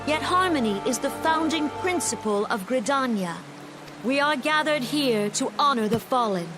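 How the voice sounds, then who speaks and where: An actress playing a solemn, compassionate leader of a forest nation, giving a formal memorial speech in a video game with stately, measured delivery. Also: female voice, high voice, expressive. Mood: earnest, uplifting. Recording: music playing behind the voice.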